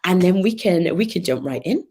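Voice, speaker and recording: A woman hosts a casual interview with a low, husky voice. She laughs often and searches aloud for words while asking questions. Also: lively intonation, moderate pace, a little mellow. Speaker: host.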